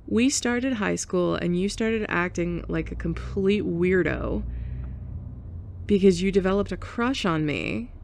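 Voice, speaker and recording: A sarcastic, tough-talking young woman who used to be the bully, voiced by a woman in an audio roleplay. Her voice sits low and gravelly, and she talks slowly and bluntly with heavy sighs. A faint hiss sits under the recording. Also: lively intonation, a little mellow. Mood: confessional. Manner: sarcastic, blunt, sighing and scoffing. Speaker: ASMR roleplay performer. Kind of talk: F4M ASMR roleplay.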